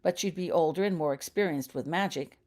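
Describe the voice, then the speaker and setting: A caring grown wizard, played by a woman reading a storybook speaks in a low register. He is calm and serious, putting measured, probing questions with gentle concern. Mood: serious, reassuring. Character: caring adult male wizard cousin, calm, grave and probing. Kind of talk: audiobook character dialogue.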